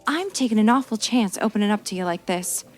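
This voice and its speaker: An actress playing a streetwise, anxious gangster's moll on the run, pleading in 1940s radio noir style. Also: female voice, mid-range voice, animated, quick pace, soft background music, natural voice. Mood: pleading, confessing. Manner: pleading, streetwise slangy talk.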